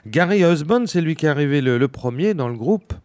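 A male interviewer leads a friendly conversation and keeps it moving with eager interjections. His voice is a touch higher than most men's. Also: expressive, a little fast, a little mellow. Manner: eager, frequent agreeing interjections.

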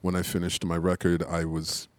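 A man in a casual interview, talking about his music in a relaxed, halting way with many pauses. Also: deep voice, a little hiss or hum. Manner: relaxed, hesitant with many ums. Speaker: musician guest.

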